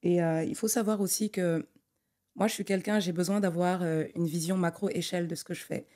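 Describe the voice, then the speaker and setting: Low mellow-voiced woman architect guest. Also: fairly even intonation, fairly quick. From Togo. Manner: thoughtful, many fillers and restarts. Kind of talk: conversational interview.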